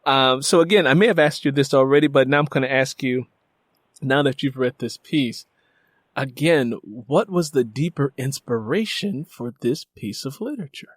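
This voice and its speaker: Male host, mid-pitched voice, dry recording, conversational interview style, discursive questioning. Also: normal speed, no room sound.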